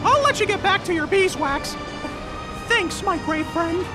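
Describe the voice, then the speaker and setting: A woman's voice: very high-pitched, lively intonation, measured pace, music in the background. A woman voicing a cheerful, playful little living cartoon clock in a video game. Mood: chummy. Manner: exclamatory, chummy, playful catchphrases. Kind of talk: video game character acting.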